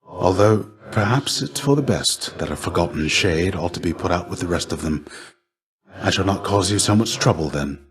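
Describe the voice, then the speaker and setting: A gentle, battle-weary warrior shade from a video game, a mentor with a very low, soft-edged voice. He speaks in measured, courteous phrases with an old-fashioned formality. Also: animated. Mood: formal, deferential. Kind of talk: video game character acting.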